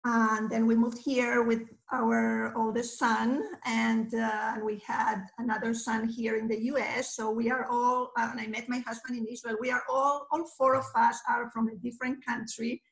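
Halting, creaky Argentine woman, high voice. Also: level tone. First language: Spanish. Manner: halting, measured, searching for words. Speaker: guest, mosaic artist. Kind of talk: informal interview talk. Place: small indoor studio room. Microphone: computer mic on video call. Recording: roomy video-call sound.

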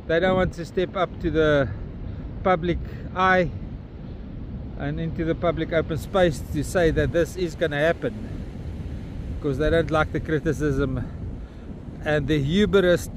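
Blunt South African man ranting outdoors. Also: fairly high pitch. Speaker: vlogger. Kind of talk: outdoor walking vlog. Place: windy beach at an estuary mouth, outdoors. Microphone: phone camera held at arm's length. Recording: wind and outdoor noise.

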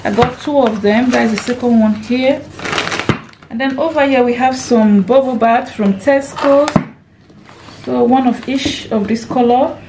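A fairly high-voiced woman chats casually to her viewers while she walks around a table of groceries in her kitchen. She counts items aloud and keeps calling her audience "guys". A faint hum of room noise sits behind her. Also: measured pace. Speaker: lifestyle vlogger and mum. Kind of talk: casual grocery haul narration. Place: home kitchen, indoors.

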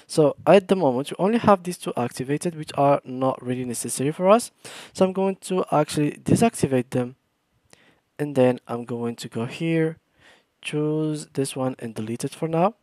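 A man's voice: on the high side, normal speed, darkish tone, no room sound. Man talking through a screen tutorial. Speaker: tutorial host. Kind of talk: screen-recorded software tutorial.

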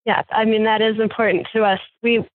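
A woman's voice: fairly high pitch, level tone, brisk. Woman farm manager on a tinny phone line. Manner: chatty, many fillers and restarts, laughs often. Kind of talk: casual interview talk.

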